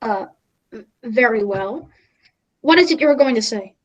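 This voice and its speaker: A woman playing a flustered, bumbling radio news reporter who speaks haltingly in a mock live interview. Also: fairly high pitch, lively intonation, dull audio. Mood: formal, hesitant. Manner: halting, stumbling over words.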